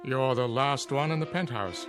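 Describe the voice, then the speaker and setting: An actor playing a discreet, unflappable butler in a radio drama, deferential and dryly proper. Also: male voice, mid-range voice, normal speed, faint music behind, close to an everyday voice. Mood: composed.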